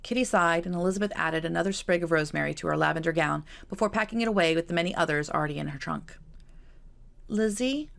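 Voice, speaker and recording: A woman's voice: on the low side, very fast, vocal fry, few pauses, fairly bright tone. A woman reading her own novel as scripted audiobook narration.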